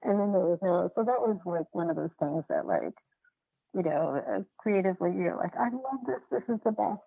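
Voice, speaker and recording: A woman's voice: lowish voice, big pitch swings, gravelly, husky, dry recording. A woman talking casually as an interview guest, often restarting and repeating her words as she explains her filmmaking. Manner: halting, repetitive, self-restarting storytelling. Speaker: podcast guest, documentary filmmaker. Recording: tinny, phone-like line.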